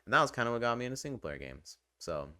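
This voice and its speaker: A man's voice: mid-range voice, sing-song, racing, bright, forceful tone, clean tone, no room sound, clean studio sound. A man giving casual, rambling game review commentary in a conversational, enthusiastic way. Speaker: gaming video host and reviewer.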